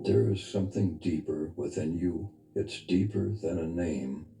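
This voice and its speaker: Very dark, low-voiced man guiding meditation. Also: creaky. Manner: soft, unhurried, intimate, earnest instruction. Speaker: meditation guide, spiritual teacher. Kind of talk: guided meditation, spiritual teaching. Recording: reverberant voice over background music. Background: music.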